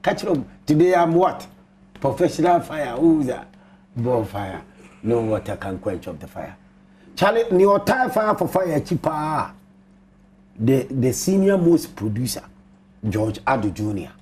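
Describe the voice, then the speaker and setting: A Ghanaian man in his thirties hosting a TV sports show in a studio, with an animated, exclamatory hype-man delivery. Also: medium pitch, a little slow, a little hiss or hum. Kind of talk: lively TV sports talk mixing English and Twi.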